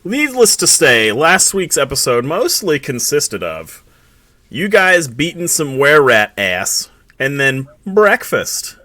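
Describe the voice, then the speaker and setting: A man's voice: a little high, sing-song, takes it slowly, pushed and bright, no echo. A man acting as dungeon master, joking and swearing as he chats with his players before the game. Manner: joking, profane, runs the session. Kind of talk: casual chat before a tabletop game.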